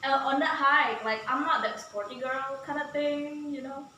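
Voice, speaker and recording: A woman's voice: sits high, steady tone, normal speed, pushed and bright, a little hiss or hum. Blunt, teasing woman in an echoey room. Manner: blunt and teasing, laughs often. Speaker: host's fiancee. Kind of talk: casual chat with fiancee. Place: home in Bali. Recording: echoey room, distant mic.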